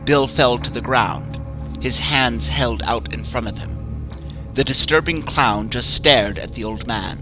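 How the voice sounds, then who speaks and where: Male horror-story reader, measured and ominous, mid-pitched, music bed. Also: poor audio. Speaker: narrator. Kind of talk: dramatic horror story reading.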